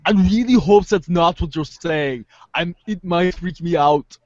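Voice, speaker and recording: A man reads as a high-pitched, analytical teen girl in amateur webcomic theater. She is sardonic and pompously wordy. The sound is dry and dull, like a lo-fi call. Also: a little slow, darkish tone, no echo. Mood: uneasy. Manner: pompous, elaborate wording.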